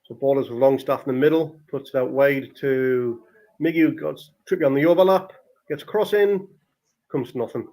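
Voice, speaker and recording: Guy hosting a football watchalong, casual fan commentary, exclaims at the action, medium pitched voice. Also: brisk, darkish tone, poor audio. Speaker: football watchalong podcast host.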